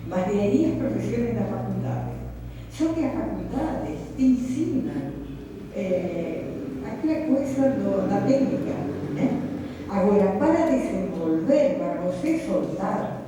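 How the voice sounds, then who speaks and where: An Argentine woman talks in a loose, rambling way, slipping Spanish words into her Portuguese. Her voice is dark and mellow. She is recorded from a distance in a hall with a long, roomy echo. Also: mid-range voice, slight background noise. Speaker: guest artist. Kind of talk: conversational talk to an audience. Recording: echoey hall, mic far off.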